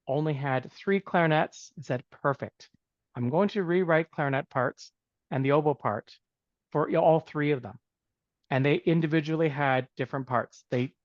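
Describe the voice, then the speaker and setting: A man's voice: medium pitch, dry recording. A man, a music educator who grew up in Canada, telling a story in a reflective, casual way with many fillers and restarts. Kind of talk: casual storytelling on a video call. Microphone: video call. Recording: dull, dry video-call audio.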